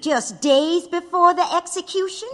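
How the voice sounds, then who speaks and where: A woman playing a defiant, sharp-tongued wife who challenges reporters pointedly in an audio drama. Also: extremely high pitch, unhurried. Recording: ringing hall reverb.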